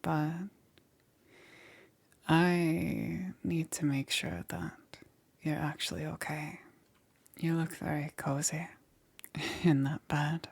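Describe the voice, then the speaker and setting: A woman playing a soft-spoken, secretive witch in an intimate ASMR roleplay, soothing and hesitant, often dropping into a whisper. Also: low voice, flat delivery, slow pace, long pauses, exaggerated voice. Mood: soothing, apologetic. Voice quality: breathy, husky, often whispering.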